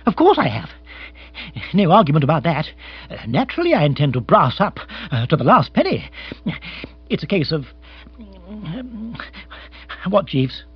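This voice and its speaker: A man's voice: a little high, wildly swooping pitch, normal speed, pauses often, slightly rough, highs cut off, faint music behind, voice pushed up, exaggerated voice. A man voicing an agitated, petulant young English lord in a comic storybook, flustered and exclamatory in upper-class slang. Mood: indignant, flustered.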